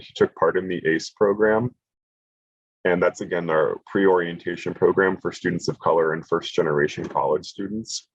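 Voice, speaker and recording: Low husky-voiced man presenting online. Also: no echo, dull audio. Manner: casual, lots of fillers and restarts. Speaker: college admissions presenter.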